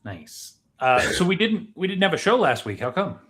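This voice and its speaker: A man co-hosting a podcast, chatting casually in a measured, matter-of-fact way. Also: high voice, big pitch swings, fairly bright tone. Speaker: podcast co-host. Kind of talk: casual chat.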